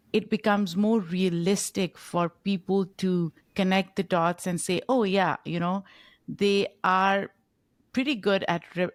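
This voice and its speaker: A woman hosting an interview, setting up a measured question for her guest. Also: fairly low pitch, no room sound. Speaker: podcast host. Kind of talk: conversational interview.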